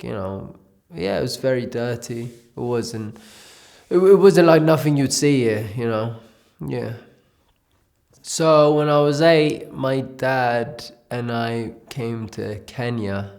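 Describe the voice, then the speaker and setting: A man's voice: fairly low pitch, creaky, a little mellow. A man from Ethiopia giving a reflective personal testimony in an interview, telling his life story in a loose, conversational way.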